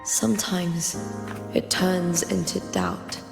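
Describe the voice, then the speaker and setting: A woman playing an earnest young female gladiator champion in a video game, soul-searching but resolute, with a heartfelt, deliberate delivery. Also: fairly low pitch, steady tone, creaky, music in the background, exaggerated voice. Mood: reflective, troubled. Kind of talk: dramatic game cutscene monologue.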